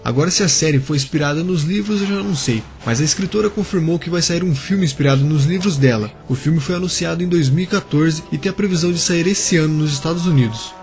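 A man's voice: fairly high pitch, fast talker, hardly any pauses, a little mellow, soft background music. A man narrating a biography video for a YouTube channel, speaking casually and directly to his viewers.

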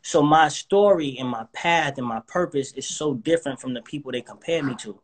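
A man's voice: on the high side, fairly quick. A man in his thirties talking in a confident, motivational, somewhat preachy way as a guest in a casual interview, speaking from a living room over a phone or webcam video call. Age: 30s. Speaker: guest artist. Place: indoors, living room with couch.

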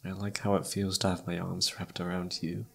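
A man playing a self-doubting, lovestruck best friend in an intimate ASMR roleplay, speaking softly and haltingly with tender hesitation. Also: low voice, flat delivery, creaky. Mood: tender, shy. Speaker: amateur voice actor.

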